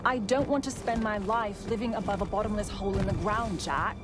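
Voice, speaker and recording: A woman's voice: mid-range voice, fairly quick, close to an everyday voice. A woman playing a determined young thief about to enlist, bantering in a dry, understated way in video game dialogue. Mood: reluctant. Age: young adult. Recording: music behind the voice.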